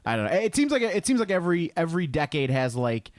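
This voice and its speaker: Male comedy podcast co-host, lively swooping delivery, dry studio-close sound, cynical, profane and rambling. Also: a little high, quick pace, no room sound. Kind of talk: casual comedic chat.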